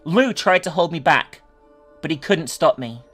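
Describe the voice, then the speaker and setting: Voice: medium pitch, lively intonation, unhurried, fairly bright tone, soft background music. A performer voicing a guilt-ridden teenage boy, earnest and pleading, in a dramatic story reading. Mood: confessing.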